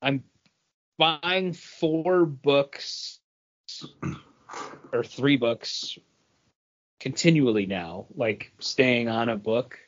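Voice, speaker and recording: A man's voice: mid-range voice, drawn-out words, pauses often. Man chatting casually in dull lo-fi audio. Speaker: podcast co-host. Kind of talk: casual comic book review chat.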